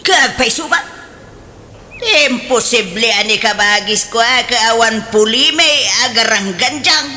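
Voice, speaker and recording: Woman playing a bright-voiced, gossipy Filipino village aunt. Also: a little high, measured pace, a little hiss or hum. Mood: indignant. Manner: expressive, exclamatory. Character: gossipy, scolding, pious village aunt in a comedy. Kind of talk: comedic radio drama acting.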